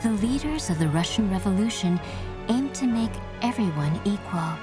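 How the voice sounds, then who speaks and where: A woman narrating a documentary from a script, slow and measured in a solemn tone. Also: medium pitch, expressive. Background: new-age music.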